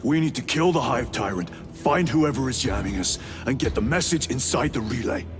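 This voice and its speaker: An actor playing a resolute male space marine lieutenant who speaks in terse, measured statements. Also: medium pitch, animated, music in the background, close to an everyday voice. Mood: steady. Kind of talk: game cutscene dialogue. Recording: game audio with score underneath.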